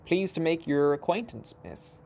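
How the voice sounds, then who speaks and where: A woman's voice: sits low, lively intonation, moderate pace, gravelly, a little mellow, a little hiss or hum. A woman playing a polite, mild young immigrant man in a radio play. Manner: courteous, mild.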